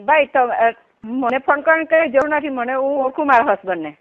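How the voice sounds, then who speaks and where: A high-pitched woman's voice comes through a tinny, narrow phone line. She has a Gujarati accent and asks questions in a guarded, unscripted way. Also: brisk. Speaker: recipient of a prank call. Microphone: telephone.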